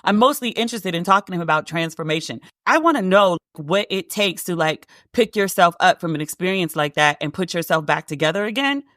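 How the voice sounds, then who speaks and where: Woman w a deep voice rattling off a travel story on her podcast, says like a lot, dry close sound. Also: expressive, brisk, hardly any pauses, a bit bright, no room sound. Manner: chatty, heavy use of "like", acts out quoted dialogue. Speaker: podcast host. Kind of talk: casual solo podcast storytelling.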